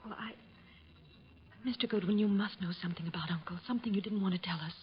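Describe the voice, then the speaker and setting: A woman playing an anxious, well-bred young niece in an old-time radio drama, breathy and hesitant. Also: medium pitch, quick pace, slight background noise, natural voice. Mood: anxious, pleading. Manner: hesitant, earnest. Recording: muffled vintage radio recording.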